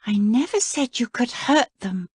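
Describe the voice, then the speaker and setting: A woman voicing a prim, polite young princess in a storybook reading, earnest and proper, raising her voice high. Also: animated, a little slow, husky, no echo, clean studio sound. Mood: scolding.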